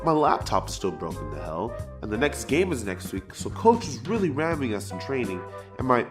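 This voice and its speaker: Audio drama teen boy, played by a man: sarcastic slacker, flustered, rambling excuses, very lively mid pitch, music behind. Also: fairly quick, close to an everyday voice. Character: fifteen-year-old boy, slacking high-school student and secret hero. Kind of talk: audio drama acting with inner monologue.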